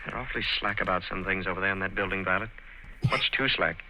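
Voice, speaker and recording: A man's voice: deep voice, steady tone, fast, sharp tone, a little hiss or hum, exaggerated voice, poor audio. A man playing a worried, secretive young clerk in a 1940s radio drama, with a tense, uneasy manner.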